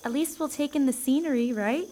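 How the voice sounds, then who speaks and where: An actress playing a sweet, upbeat teenage cheerleader with a chirpy manner. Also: female voice, sits high, a little fast, warm tone, a little hiss or hum, lightly acted. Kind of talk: audio drama acting.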